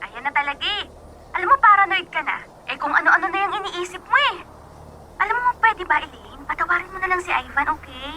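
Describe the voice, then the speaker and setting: A woman acting a teasing, bossy young female friend who gives blunt advice in a Filipino radio drama, with animated scolding banter. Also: extremely high pitch, quick pace, heavy background noise. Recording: prominent music behind voice.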